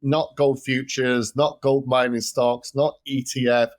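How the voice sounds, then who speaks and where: Energetic London man, dry sound. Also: medium pitch, measured pace, no room sound. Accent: London English. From London, England. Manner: energetic, repeats words when starting sentences. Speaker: guest, gold investment expert. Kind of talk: casual interview talk.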